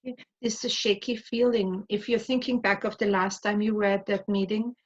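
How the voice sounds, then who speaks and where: A woman from Austria hosting and guiding a tapping session, speaking calmly with frequent fillers. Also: medium pitch. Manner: calm, measured, with many fillers. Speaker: host, EFT practitioner. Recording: slightly dull lo-fi audio.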